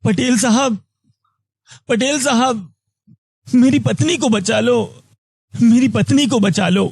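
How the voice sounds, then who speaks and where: Man voicing a very high-pitched, pleading poor villager. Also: takes it slowly, vocal fry, a little mellow, breathy edge, dry recording, heavily acted. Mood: desperate pleading. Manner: pleading, deferential. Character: poor desperate villager, humbly begging for mercy. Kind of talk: animated moral-story cartoon dialogue.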